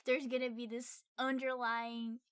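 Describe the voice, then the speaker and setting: A woman co-hosting a podcast in casual, unscripted chat, thinking aloud in halting phrases with a playful, teasing streak. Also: fairly high pitch, level tone, fairly bright tone, clean tone, no room sound. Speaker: podcast co-host reviewing a film.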